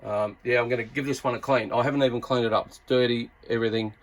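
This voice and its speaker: A man's voice: medium pitch, fairly even intonation, quick pace, poor audio. Laid-back Australian man chatting about radio gear. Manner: laid-back, colloquial, blokey. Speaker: YouTube radio hobbyist and reviewer. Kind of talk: casual hands-on gear review with CB radio chatter.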